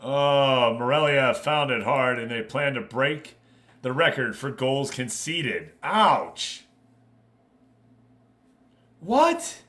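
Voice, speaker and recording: Bright-voiced man hosting a game stream. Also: medium pitch, animated, takes it slowly, pauses often. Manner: informal, chatty, addressing viewers by name. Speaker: streamer hosting an online football manager league. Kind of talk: casual live game-stream commentary.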